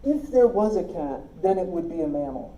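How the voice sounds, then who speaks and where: Lady teaching a logic class, dark mellow voice, lowish, echoey room with some hiss. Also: big pitch swings, normal speed. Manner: explains step by step, checks understanding. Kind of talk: classroom lecture.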